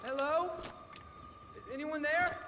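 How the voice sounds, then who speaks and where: Nervy nerdy western scientist in a game, high voice, flowery polite rambling, noise behind him. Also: male voice, slow pace. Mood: startled, awed. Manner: stammering, wordy, flowery, deferential. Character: eager, nervous bookish male researcher in Old West. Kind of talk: video game cutscene acting.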